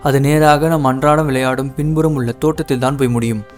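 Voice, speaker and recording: A man's voice: medium pitch, steady tone, fast, faint music behind, close to an everyday voice. An actor voicing a scheming young male impostor posing as a prince in a cartoon, smug and confident. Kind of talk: cartoon voice acting.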